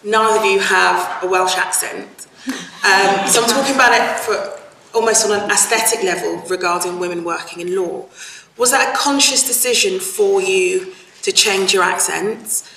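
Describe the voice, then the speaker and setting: Bright, distant woman questioner. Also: mid-range voice, moderate pace, vocal fry, a little hiss or hum. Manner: articulate, pointed questioning. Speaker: audience questioner, news presenter. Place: large formal hall. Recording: distant, echoey hall audio.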